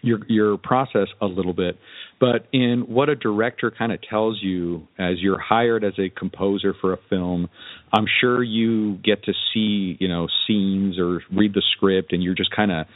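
A man's voice: fairly low pitch, steady tone, moderate pace, dry recording. A man hosting a podcast, chatting casually in a rambling, hesitant way with loose filler phrases. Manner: rambling, hesitant, frequent "you know" and "kinda". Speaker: podcast host. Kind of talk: casual interview chat. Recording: dull lo-fi, dry podcast audio.

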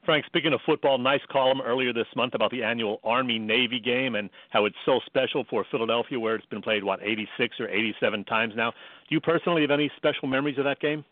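A man's voice: mid-range voice, fast talker, dry recording. A man hosting a sports radio interview and putting questions to a guest, in dry, narrow phone-like sound. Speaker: radio show host.

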